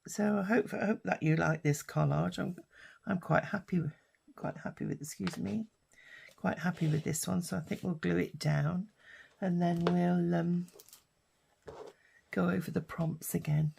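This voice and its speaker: An older British woman with a husky, hoarse voice from a lingering cough, chatting casually while she crafts. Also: lowish voice, steady tone, a little slow, a little mellow, a little hiss or hum. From United Kingdom. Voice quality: husky, raspy. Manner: rambling and chatty, with many fillers. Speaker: craft vlogger.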